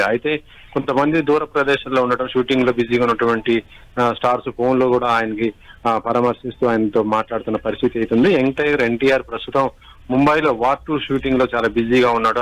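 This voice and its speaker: A man giving a live unscripted news report, halting and repeating himself as he goes. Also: medium pitch, a little hiss or hum, poor audio. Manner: halting, repetitive, restarts words.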